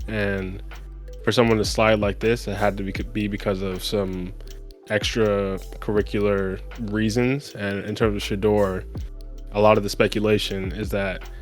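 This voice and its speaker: Guy w/ lowish voice doing casual sports podcast commentary, explains stuff, faint music behind. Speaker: podcast host.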